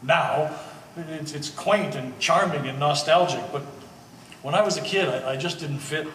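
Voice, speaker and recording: A man's voice: a little high, a little slow, fairly bright tone. A man playing an easygoing middle-aged former hippie with a hesitant, amiable delivery in a live stage play. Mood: reflective. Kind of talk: live one-act stage acting. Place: art gallery stage reading. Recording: echoey hall, distant mic, faint noise.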